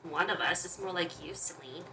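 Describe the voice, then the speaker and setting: A woman's voice: fairly low pitch, normal speed, sharp tone. A woman hosting a gaming video, reading visual novel narration aloud and adding casual, playful asides. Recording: slightly distant mic, faint noise.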